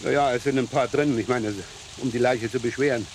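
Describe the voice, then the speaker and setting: A man's voice: medium pitch, fairly even intonation, a little fast, close to an everyday voice. Crime radio drama: male actor as evasive, hesitant, over-polite man, mid-range voice, slight rainy background noise. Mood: flustered, defensive. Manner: stammering, hesitant, nervously polite. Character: flustered, evasive, deferential man disposing of a corpse. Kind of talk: German crime radio play acting.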